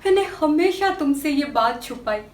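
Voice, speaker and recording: A woman acting an anguished young woman confessing a secret in a dramatic stage monologue, halting and sorrowful with long pauses. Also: high voice, animated, darkish tone, a little hiss or hum. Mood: grave, confessing. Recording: echoey room, distant microphone.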